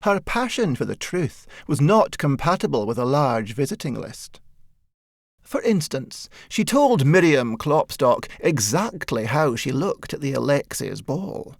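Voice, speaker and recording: A man narrating a satirical short story as an audiobook, with measured, wry storytelling. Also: medium pitch, sing-song, creaky, no echo, clean studio sound.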